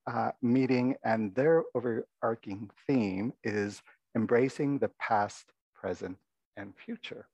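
Soft-spoken man with a gravelly low voice. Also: no echo, rough recording. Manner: reflective, halting, many fillers. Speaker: abbot giving a dharma talk. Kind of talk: dharma talk. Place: meditation hall.

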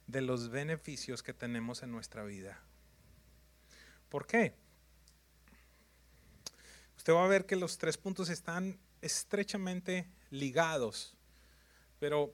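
A man's voice: a little high, a bit bright. A man preaching a sermon in numbered points, emphatic and repetitive, often putting questions to his congregation.

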